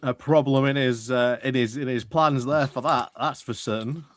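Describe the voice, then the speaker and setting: A man's voice: mid-range voice, steady tone, moderate pace. A man giving casual live commentary as a streaming host, thinking aloud in muttered asides into a close mic.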